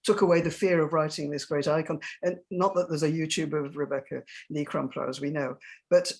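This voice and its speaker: Woman with a low voice, playwright on a panel, reflective, emphatic, searching for words. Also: steady tone, fairly quick, darkish tone, slightly rough.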